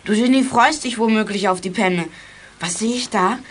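A woman's voice: mid-range voice, normal speed, natural voice. A woman playing a chubby, gluttonous boy who is cheeky and whiny, in a German children's audio drama.

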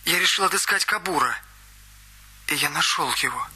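An eager young witness. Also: male voice, fairly high pitch, moderate pace, sharp tone, slightly rough, heavily acted. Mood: earnest. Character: eager young male witness recounting events. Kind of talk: radio play acting. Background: music.

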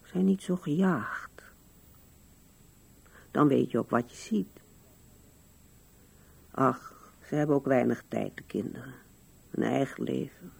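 A woman's voice: a bass voice, takes it slowly, long pauses, a little mellow, heavily acted. An older actress playing an old widow in a radio drama, musing to herself with resignation at her husband's grave. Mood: resigned, musing. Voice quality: husky, creaky. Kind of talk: 1970s radio drama monologue.

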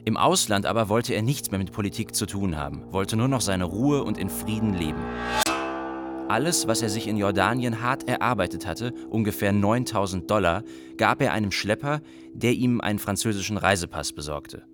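Man acting young Iraqi refugee narrator, low-pitched, radio play, scripted storytelling, faint music. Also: animated, normal speed, natural voice. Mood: narrative.

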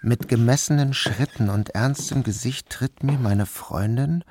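A man reading a literary story aloud as a first-person narrator, with a measured and even delivery. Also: mid-range voice, a little hiss or hum. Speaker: audiobook narrator. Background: music.

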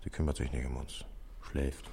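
Very deep, laconic detective. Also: male voice, normal speed, vocal fry, a little hiss or hum. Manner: terse, flat reporting. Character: laconic male police detective on watch. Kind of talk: German radio crime drama acting.

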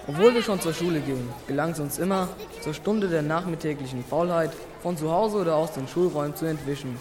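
A man played by an actor reminisces about boyhood in a radio play narration. His voice is on the high side, with a crowd clearly heard behind him. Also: fast talker, close to an everyday voice. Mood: nostalgic. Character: man reminiscing about schoolboy afternoons with an old lady.

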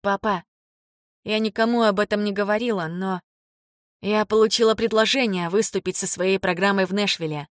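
A woman's voice: medium pitch, steady tone, moderate pace, no room sound, studio quality, lightly acted. A woman voicing a hesitant, devoted grown daughter in audiobook dialogue, in a conversational way. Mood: wistful, confiding.

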